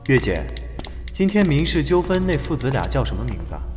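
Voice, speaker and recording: A man acting as a young detective in an audio drama. He is inquisitive and casual, with a mid-pitched, animated voice and faint music behind. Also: fairly quick, natural voice. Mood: playful. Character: inquisitive young male detective trusting his gut.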